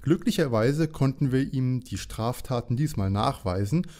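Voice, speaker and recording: A man's voice: mid-range voice, lively intonation, normal speed, darkish tone, lightly acted. A man playing a good-natured, slightly naive young detective in an audio drama parody. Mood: earnest.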